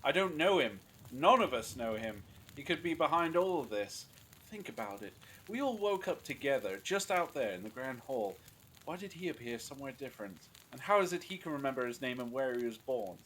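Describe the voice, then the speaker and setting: A man's voice: medium pitch, very animated, a little fast, fairly bright tone, slight background noise, rough recording. A man playing a suspicious, scornful captive in an audio drama, sardonic and dismissive. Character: suspicious, scornful male abductee, cynical and sarcastic.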